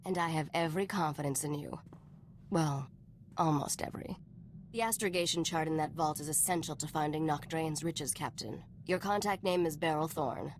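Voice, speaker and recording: A woman playing a cool, shrewd smuggler's associate in a video game, measured and teasing. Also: deep voice, slight background noise, lightly acted.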